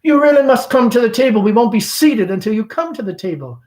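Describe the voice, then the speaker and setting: Man putting on a chatty, high-pitched Southern belle mother. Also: lively intonation, quick pace, a little mellow. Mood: insistent. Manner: gushing, rambling, theatrical. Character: chatty middle-aged Southern belle mother, genteel and fretful.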